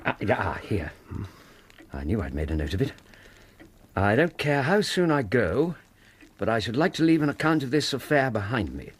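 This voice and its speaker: A male actor plays an earnest doctor, a detective's companion in a radio drama. He speaks at a middle pitch with hugely varied intonation, wondering and a little hesitant, over faint noise. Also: sing-song, moderate pace, close to an everyday voice. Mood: earnest, doubtful.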